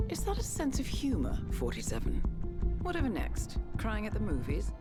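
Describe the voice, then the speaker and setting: A poised intelligence handler in a stealth game, composed and exact. Her delivery is authoritative and dryly witty, with lively swoops in pitch. Loud music plays behind her. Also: moderate pace. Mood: dryly amused. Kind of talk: game cutscene dialogue and scripted mission briefing.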